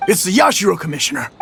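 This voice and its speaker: An actor voicing a gruff, flustered but defiant henchman with a gravelly voice in an anime video game. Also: high voice, big pitch swings, a little fast, a bit bright, slight background noise, full character voice. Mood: alarmed, defiant.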